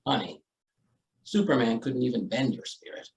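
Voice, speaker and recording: A flustered newspaper editor from a vintage radio comedy, played by a man. He hems and soothes with dry wit and animated swings, sounding roomy and distant. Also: mid-range voice, normal speed, natural voice. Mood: wry. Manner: hemming, placating, dry. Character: flustered, placating male newspaper editor, dryly wisecracking. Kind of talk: old-time radio comedy acting. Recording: roomy stage, away from mic.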